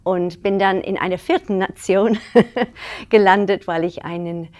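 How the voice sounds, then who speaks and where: A middle-aged woman from England, telling her life story as an interview guest in a lively way, with frequent laughs and fillers. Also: mid-range voice, a little slow.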